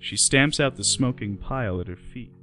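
A man's voice: mid-range voice, moderate pace, pushed and bright, faint music behind. A man narrating a scripted radio play in the present tense.

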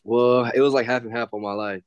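A man's voice: mid-range voice, fairly quick, clean tone, dry recording, muffled recording. A young man from the San Francisco Bay Area, a student talking candidly in a casual class discussion over a video call. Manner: slangy, candid, animated.

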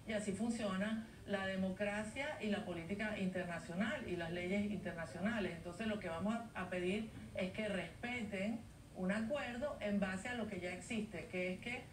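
A woman guest on a TV news interview, arguing her case in an earnest, measured way over a video call. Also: mid-range voice. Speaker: guest advocate. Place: home studio via video link. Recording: roomy, distant, faint noise, played off a TV.

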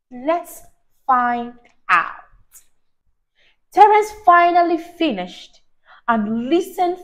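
A young woman reading a story aloud to a child, expressive and teacher-like, emphasising words and asking questions. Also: high-pitched, poor audio. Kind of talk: animated read-aloud to a child. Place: small indoor room, on a sofa. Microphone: clip-on lapel mic.

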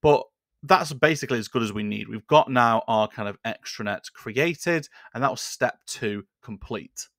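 Gravelly, mid-pitched man teaching software. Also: normal speed, no echo, clean studio sound. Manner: relaxed, conversational, with frequent fillers. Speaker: software tutorial host. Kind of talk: screen-share tutorial. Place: small indoor room at a desk. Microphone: studio microphone on a boom arm.

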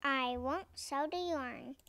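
Woman doing a high-voiced, steadfast little girl. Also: takes it slowly, a bit bright. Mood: calm refusal. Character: calm, polite, steadfast little girl who knits.

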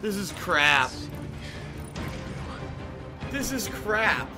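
A man's voice: sits high, big pitch swings, extremely slow, over music. A man in his thirties streaming a game from a small room on a headset mic, ranting and swearing in frustration. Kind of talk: live gameplay commentary. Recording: game sounds loud behind voice.

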